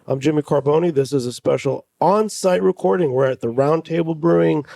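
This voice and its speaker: A man's voice: a little high, a little fast, creaky, mellow, no room sound. A man hosting a radio show on site, with an upbeat and enthusiastic way of talking.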